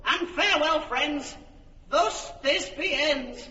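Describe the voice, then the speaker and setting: An actor playing a clumsy workman who acts the maiden Thisbe, wailing melodramatically in a falsetto in a classic radio drama. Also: slow pace, sharp tone, full character voice. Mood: grieving. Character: male actor playing Thisbe, a lovesick maiden, shrill and overwrought. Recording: roomy, distant, dull broadcast recording.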